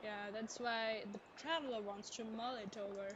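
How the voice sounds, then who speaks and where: A woman's voice: fairly high pitch, normal speed, fairly bright tone, highs cut off. A woman livestreamer giving casual commentary on a video game as she plays. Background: game music.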